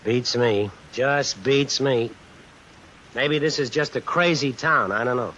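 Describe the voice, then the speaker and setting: An actor playing a brash, suspicious American drifter fresh out of jail, slangy and sardonic, in old-time radio suspense style. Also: male voice, medium pitch, slow pace, lo-fi sound. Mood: irritated, sardonic. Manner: slangy, hard-boiled, sardonic. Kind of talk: old-time radio suspense acting with first-person narration. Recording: vintage radio recording with hiss and rain. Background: steady rain sounds added over the broadcast.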